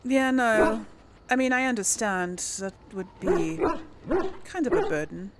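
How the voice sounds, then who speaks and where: A woman's voice: fairly high pitch, a little slow, very noisy. A woman hosting a let's play, giving chatty, informal gameplay commentary and casually role-playing her replies.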